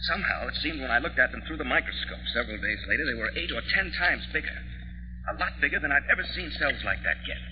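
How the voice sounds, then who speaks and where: Man acting a fussy American dad, animated, old radio. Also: mid-range voice, fast, natural voice. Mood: absorbed, musing. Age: middle-aged. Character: grumbling, absent-minded father, amateur basement scientist. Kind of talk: 1940s radio drama acting. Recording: dull vintage radio recording with hum.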